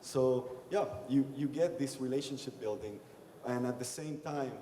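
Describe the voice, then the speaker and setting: Young Chilean man, mellow, hesitant panelist, roomy hall. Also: medium pitch, moderate pace, slight background noise. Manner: hesitant, many fillers, self-described timid. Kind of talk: conference panel talk, storytelling. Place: large conference hall. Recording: echoey conference hall, long reverb.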